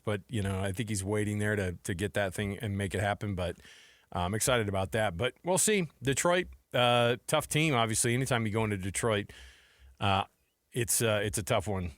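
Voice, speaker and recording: A man's voice: lowish voice, fairly bright tone, no room sound, clean studio sound. A man hosting a sports talk radio show in an informal, conversational way, talking like he is chatting with a buddy. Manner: informal, conversational, addresses listeners and co-host as man and dude. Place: radio studio.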